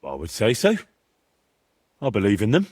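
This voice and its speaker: An actor playing a plain-spoken, sceptical young medieval adventurer in a video game, with a down-to-earth, conversational manner. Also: male voice, on the low side, huge pitch swings, normal speed, a little mellow, slightly rough, heavily acted. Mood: frank. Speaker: protagonist of a game. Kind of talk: video game cutscene dialogue.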